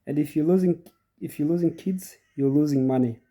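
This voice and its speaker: A man's voice: fairly high pitch, fairly quick, mellow. A middle-aged goat farmer with a cold, hosting his channel and explaining step by step in a chatty way to his viewers, often repeating himself. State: congested from a cold. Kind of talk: casual instructional talk to viewers.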